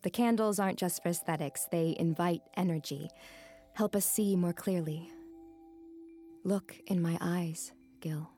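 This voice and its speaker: A woman's voice: on the low side, a little slow, dark tone, faint music behind. A woman playing a young, alluring tarot reader in a naturalistic audio drama, with a teasing, mystical manner. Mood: calm, mystical. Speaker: actress.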